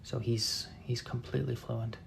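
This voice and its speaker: A man in his thirties, a painter chatting casually with viewers during a live session, soft-spoken and halting with many fillers and restarts. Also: medium pitch, flat delivery, creaky, slight background noise. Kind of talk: casual chat while painting.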